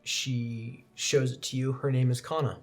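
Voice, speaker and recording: A man's voice: medium pitch, little pitch movement, unhurried, music in the background. A man narrating a tabletop roleplaying game as game master.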